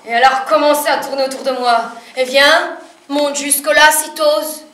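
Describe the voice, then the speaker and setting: An actress playing a wistful, fiery, worn-down laundress in a dramatic theatrical monologue. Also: female voice, high-pitched, steady tone, normal speed. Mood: defiant storytelling. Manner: dramatic storytelling, swinging from hushed to shouted. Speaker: actress performing a stage monologue. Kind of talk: theatrical French monologue with sung passages. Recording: echoey room from far off, faint noise.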